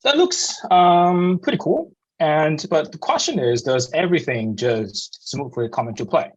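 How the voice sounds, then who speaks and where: Man with taiwanese accent, guest speaker, halting with many fillers, slightly muffled video-call audio. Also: fairly high pitch, animated. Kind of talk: slide presentation to students.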